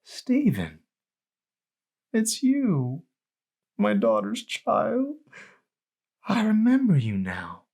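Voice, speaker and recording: A man voicing a frail, distraught elderly grandmother in an audiobook, with a strained, cracking, halting voice. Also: sits high, huge pitch swings, creaky, a little mellow, voice pushed up, heavily acted. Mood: soft, dawning recognition. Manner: halting, stammering. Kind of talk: audiobook character voice.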